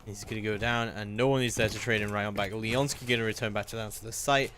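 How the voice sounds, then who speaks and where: A man giving live play-by-play commentary on a video game match in an excitable manner. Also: on the low side, quick pace, a little hiss or hum. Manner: excitable, building to shouts at big plays.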